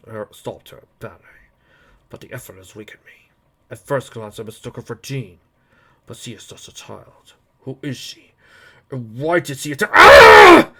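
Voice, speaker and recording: A man's voice: medium pitch, fairly bright tone, breathy edge. A man voicing a weary, thoughtful telepathic professor in a grave, strained manner.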